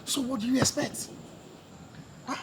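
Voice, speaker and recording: A man's voice: very high voice, normal speed. A man with a Nigerian accent playing a cautioning, brotherly friend in a screen drama. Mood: concerned. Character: cautioning male friend giving brotherly advice. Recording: location sound with faint noise.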